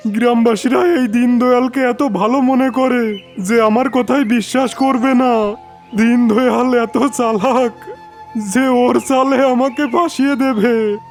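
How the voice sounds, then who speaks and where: Cartoon father, anxious and pleading, high and slow, a man plays him. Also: darkish tone, heavily acted. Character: worried poor village father, desperate.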